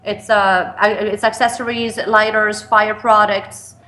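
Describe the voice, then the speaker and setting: A young woman from Sweden who grew up in France answers interview questions over a remote call. She speaks at a middling pitch and often pauses, saying um and restarting her sentences. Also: flat delivery, bright, forceful tone. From Sweden, raised in France. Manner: hesitant, many ums and restarts. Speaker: guest, cigar company founder.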